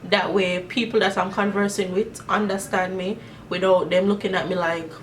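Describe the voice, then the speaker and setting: A woman's voice: on the low side, level tone, normal speed, far from the mic, a little hiss or hum. A young woman from Jamaica with a Jamaican accent, answering get-to-know-me questions in a chatty, rambling way. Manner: chatty, rambling, many fillers. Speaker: vlogger. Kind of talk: casual Q&A vlog. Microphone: camera mic.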